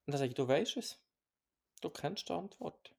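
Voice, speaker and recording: A man with a Swiss German accent, co-hosting a podcast in a calm, reflective chat with many restarts. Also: fairly high pitch, normal speed, creaky, breathy edge. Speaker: podcast co-host.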